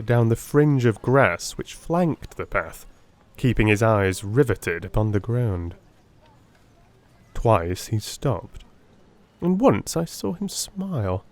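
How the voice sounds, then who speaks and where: A man narrating a classic story as a dramatic audiobook, with theatrical, deliberate phrasing. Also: mid-range voice, darkish tone.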